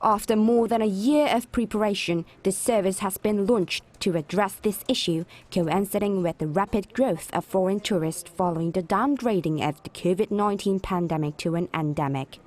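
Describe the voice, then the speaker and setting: A woman reporter reading a scripted news report in a measured, even delivery. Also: mid-range voice.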